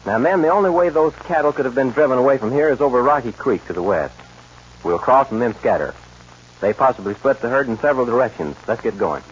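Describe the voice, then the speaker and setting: An actor playing a brisk, commanding cowboy ranch foreman in a 1940s radio western style. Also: mid-range voice, lively intonation, natural voice. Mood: firm. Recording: dull, noisy old radio recording.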